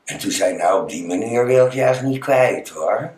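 A man talking casually and personally in a halting, plain-spoken way, in an echoey room. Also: on the low side, lively intonation, a little mellow.

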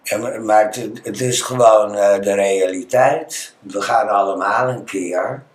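A Dutch man talking as an interview guest, telling personal stories conversationally and halting as he searches for words. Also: on the low side. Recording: echoey, reverberant room.

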